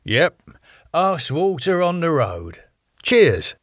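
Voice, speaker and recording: A man's voice: fairly high pitch, big pitch swings, slow pace, dry recording, clean studio sound, pitched above the performer's own voice, exaggerated voice. A man with a British accent voicing an eager, persuasive young male band manager.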